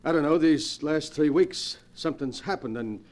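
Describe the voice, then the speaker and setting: An actor playing a tormented, pleading gangster with rough slangy speech in a 1940s radio mystery. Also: male voice, fairly high pitch, normal speed, a little mellow, close to an everyday voice. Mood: brooding, uneasy. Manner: rough slangy tough-guy talk, pleading and stammering. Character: desperate, tormented gangster man dreading his next attack. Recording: vintage 1940s radio recording.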